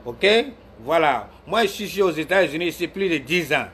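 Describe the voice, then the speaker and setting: Man from Ivory Coast, live-stream commentator, a bit high-pitched, combative and repetitive, faint noise behind. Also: lively intonation, normal speed, fairly bright tone. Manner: argumentative, scolds viewers by name, repeats himself. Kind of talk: heated political commentary.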